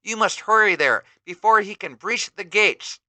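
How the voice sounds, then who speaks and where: A man's voice: high-pitched, expressive, moderate pace, sharp tone, no room sound, pitched above the performer's own voice, heavily acted. A man voicing a grave, urgent sorceress woman bringing a warning. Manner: earnest, urgent pleading tone.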